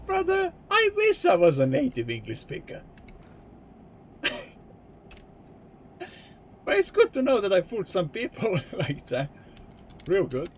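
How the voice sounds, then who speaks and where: Slow, musing man streaming. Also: sits high, wildly swooping pitch, slight background noise. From Estonia. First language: Estonian. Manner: musing, chatty, swears casually. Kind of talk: rambling gameplay commentary.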